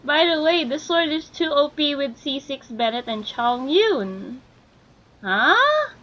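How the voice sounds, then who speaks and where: A woman's voice: sits high, big pitch swings, takes it slowly, fairly bright tone, lo-fi sound. A woman chatting casually with viewers as she livestreams a game, reacting in short interjections. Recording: game music behind voice.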